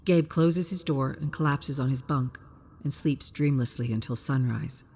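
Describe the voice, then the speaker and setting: A woman's voice: very deep voice, moderate pace, mellow, husky. A woman reading a scripted story as a dramatic, suspenseful narrator. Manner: present-tense suspenseful storytelling.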